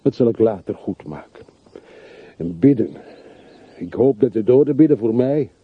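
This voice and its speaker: A man's voice: mid-range voice, lively intonation, moderate pace, slightly rough. An actor playing a bitter, world-weary middle-aged man in a radio play, speaking tersely and broodingly on an old recording. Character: bitter, world-weary man revisiting his hometown's dead. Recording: muffled, phone-like, noisy old radio recording.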